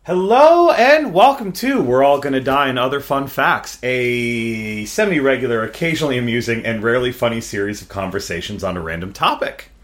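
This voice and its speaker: Dude hosting a podcast, wry rambly self-deprecating talk, bouncy voice. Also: male voice, mid-range voice, expressive, fairly quick, hardly any pauses, fairly bright tone. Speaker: podcast host. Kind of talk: casual conversational podcast.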